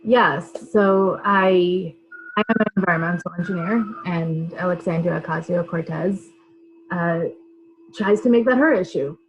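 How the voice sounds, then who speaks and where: A woman who is a congressional candidate, a guest on a political podcast, speaking earnestly and haltingly with fillers. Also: lowish voice, faint music behind, poor audio. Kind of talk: casual political interview.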